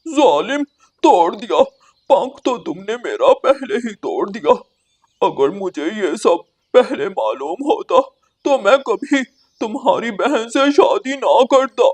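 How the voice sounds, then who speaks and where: A woman's voice: mid-range voice, expressive, slow pace, a little mellow, slightly rough. A woman voicing a cowardly male cartoon crow, a bullied husband who pleads and whines. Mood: pained, bitter. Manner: pleading, whining.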